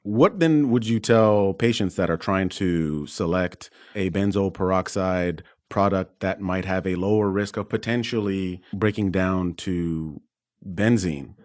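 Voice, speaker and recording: A man's voice: low voice, measured pace, a little mellow. A man hosting a medical podcast, asking guests questions in a conversational way. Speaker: podcast host, physician. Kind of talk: conversational interviewing.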